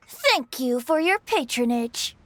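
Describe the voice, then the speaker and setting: An actor playing a playful, amiable young male bard in a video game, with an airy, theatrical, flattering manner. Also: extremely high pitch, no echo, full character voice. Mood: breezy, teasing. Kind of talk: English video game voice acting.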